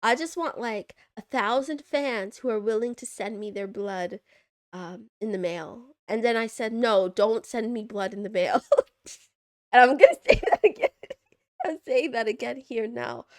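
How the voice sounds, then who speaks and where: A woman's voice: fairly high pitch, lively intonation, measured pace, darkish tone, no echo, studio quality. A young woman streaming and chatting with viewers, playful and giggly with cat puns, her voice stuffy from congestion. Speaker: VTuber streamer. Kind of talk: casual livestream chat reading viewer messages.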